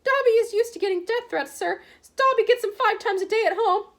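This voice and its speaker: A woman voicing a tiny, servile male house elf who pleads in a high, tearful whisper. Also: a little fast, fairly bright tone. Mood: earnest pleading.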